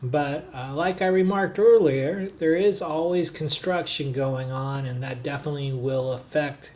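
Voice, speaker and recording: A man narrating a hotel and city tour for his travel vlog in a relaxed, chatty way, with frequent "uh" and "um" fillers. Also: a little high, measured pace. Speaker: travel vlogger, hotel reviewer. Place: recorded on the road in a noisy city.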